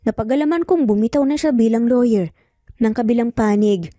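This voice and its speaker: A woman's voice: fairly high pitch, fairly quick, mellow, dry recording, studio quality, soft background music, lightly acted. A woman voicing a preoccupied, bewildered female lawyer in an audio story, hesitant and pensive. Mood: pensive, disbelieving.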